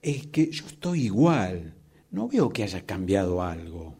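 In a radio drama, a man plays a flustered office employee on the defensive. His slightly rough voice jumps around in pitch. He protests politely and haltingly to his superior. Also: very animated, dark tone, exaggerated voice. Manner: formal, hesitant, protesting. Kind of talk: radio drama workshop dialogue.

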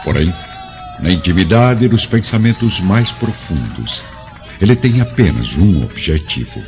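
A man's voice: sits low, animated, a little slow, darkish tone, a faint crowd. A man with a Brazilian accent narrating a historical radio serial in a solemn, theatrical way. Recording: dull lo-fi recording with music underneath.

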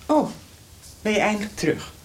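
A woman's voice: medium pitch, expressive, normal speed. A woman rehearsing radio drama lines and making off-script remarks, on a noisy studio outtake.

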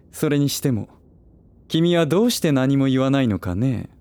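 A man playing a suave, middle-aged gentleman who teases with smug, playful condescension in a voice drama. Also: medium pitch, expressive, slow, dry recording. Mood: teasing. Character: suave teasing older man, playfully villainous. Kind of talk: voice drama acting.

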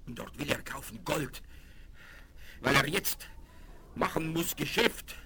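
An actor playing a distraught, devoted servant man in a Western radio play, speaking halting, exclamatory broken German. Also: on the high side, lively intonation. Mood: agitated grief. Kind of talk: 1970s radio play acting. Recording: hissy vinyl record transfer.

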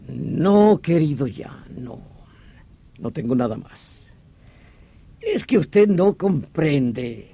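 Excitable, mellow-toned French police chief. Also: male voice, medium pitch, husky, exaggerated voice. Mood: insistent. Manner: theatrical, emphatic, condescending. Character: excitable French police commissioner, irritable and insistent boss. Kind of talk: old radio drama acting.

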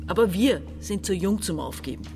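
Actress as lively, resentful Austrian daughter. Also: female voice, mid-range voice, fairly quick, soft background music, close to an everyday voice. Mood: frustrated, bitter. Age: 40s. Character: resentful, domineering daughter, embittered woman around forty. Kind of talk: German-language radio drama.

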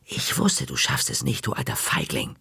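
A man's voice: rumbling low, wildly swooping pitch, moderate pace, vocal fry, sharp tone, husky, no room sound, clean studio sound, voice pushed down, exaggerated voice. A man voicing a cheeky, teasing older brother who mocks the narrator in a storybook reading. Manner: mocking, smug.

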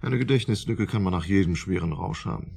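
An actor playing a sardonic, patronising psychiatric expert in a German radio drama, with blunt, colloquial sarcasm. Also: male voice, low-pitched, brisk, gravelly, a little mellow, husky, full character voice. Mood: condescending.

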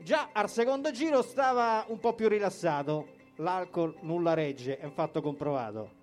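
Roman-accented male verse performer, high-pitched, colloquial and theatrical, light guitar underneath. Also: lively intonation, normal speed. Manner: colloquial, theatrical storytelling with acted dialogue. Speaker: poetry performer. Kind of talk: lively Roman dialect verse recital. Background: guitar music.

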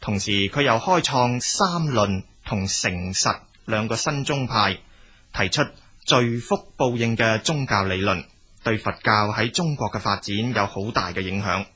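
A man with a Cantonese accent reading scripted documentary narration in a measured, explanatory storytelling manner. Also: lowish voice, bright, forceful tone, breathy edge. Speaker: narrator of a history and culture programme.

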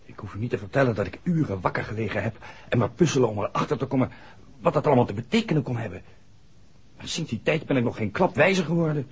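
A man's voice: mid-range voice, lively intonation, quick pace, slightly rough. An actor playing an anxious, earnest young man who speaks hesitantly and stammers, in a 1960s Dutch radio drama. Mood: uneasy. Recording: dull 1960s radio recording.

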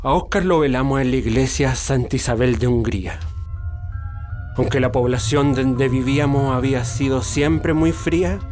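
A man's voice: mid-range voice, normal speed, natural voice. An actor playing an older Chilean father grieving his murdered son, indignant and wounded, in a radio drama. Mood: sorrowful, reminiscing. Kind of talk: radio drama acting with recounted testimony. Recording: radio play with loud music bed.

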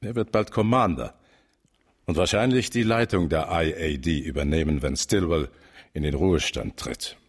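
Casting: calculating top cop in a radio play, deep-voiced, rough-edged, measured authority with dry bite. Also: male voice, full character voice. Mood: blunt, confiding. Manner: authoritative, measured, dryly sardonic. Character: shrewd, commanding senior police chief, blunt and calculating. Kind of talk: German radio drama acting.

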